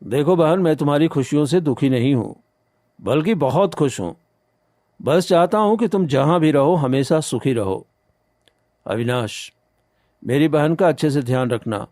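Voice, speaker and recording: A man's voice: fairly high pitch, normal speed, pauses often, mellow, no room sound, studio quality, lightly acted. A man playing a poor, proud village farmer, an earnest and protective brother in a cartoon story. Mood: tender. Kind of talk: Hindi animated moral story dialogue.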